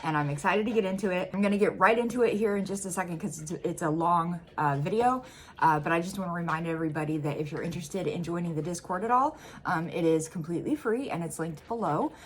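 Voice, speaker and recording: A woman's voice: fairly low pitch, fast. A woman from the United States hosting a reaction video from home, chatting casually with plenty of ums and uhs. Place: home room.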